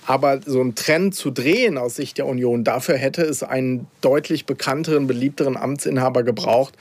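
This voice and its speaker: A man giving measured political analysis on a radio talk show, with occasional filler sounds. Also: mid-range voice, no echo.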